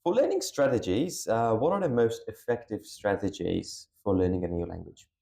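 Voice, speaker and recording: A man's voice: fairly low pitch, very animated, normal speed, creaky, warm tone. A man hosting a school podcast, reading a formal introduction and then asking interview questions. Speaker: podcast host. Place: school room. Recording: echoey room.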